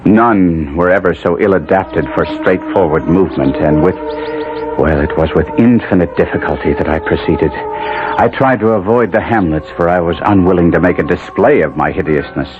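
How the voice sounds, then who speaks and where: An actor playing an anguished nobleman trapped in a monster's body, narrating theatrically and deliberately in a 1970s radio drama. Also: low voice, music in the background, natural voice. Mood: brooding. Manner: theatrical, florid, deliberate storytelling. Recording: lo-fi phone-like vintage radio broadcast.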